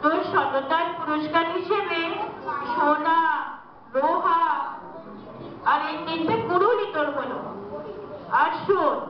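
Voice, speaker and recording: A woman playing a kindly, motherly water goddess in a folk-tale drama, speaking in a stately, benevolent, drawn-out way. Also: extremely high pitch, a crowd in the background. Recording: very echoey, distant, dull, faintly noisy.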